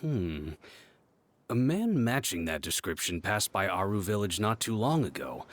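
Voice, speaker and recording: An actor playing a helpful, courteous village guard in a video game, with a deliberate, obliging way of talking. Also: male voice, fairly low pitch, sing-song. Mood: thoughtful, recalling.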